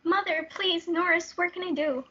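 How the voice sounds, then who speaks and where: Young woman playing lovestruck teenage girl, desperate and defiant, high voice, stage play, muffled lo-fi recording. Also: steady tone, a little slow, bright, forceful tone, full character voice. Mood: pleading.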